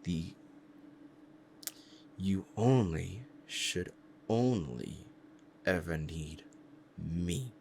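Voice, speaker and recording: A man voicing a powerful, possessive and devoted demon in an intense, deliberate ASMR roleplay monologue. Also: sits low, gravelly, darkish tone, a little hiss or hum, full character voice. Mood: possessive, commanding. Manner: intense, deliberate, dramatic pauses.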